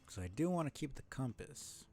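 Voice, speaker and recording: A man's voice: mid-range voice, big pitch swings, moderate pace, soft background music. A young man streaming a game live, thinking aloud in casual muttered commentary with small self-deprecating asides. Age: young adult.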